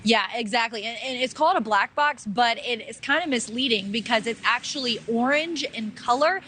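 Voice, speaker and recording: A woman's voice: on the high side, moderate pace, pushed and bright. A woman delivering a live news report as a field reporter. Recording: outdoor live report with background noise.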